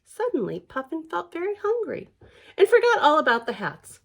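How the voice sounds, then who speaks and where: A woman reading a picture book aloud for a library storytime, expressive, with questioning asides to young listeners. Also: very high-pitched, moderate pace, creaky. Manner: expressive, questioning, sing-song emphasis.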